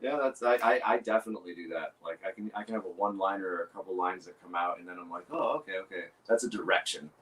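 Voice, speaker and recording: A man with a low voice, a guest musician chatting casually and animatedly, full of "you know" and "right". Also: quick pace, hardly any pauses, fairly bright tone, slight background noise, low-quality recording. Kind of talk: casual chat.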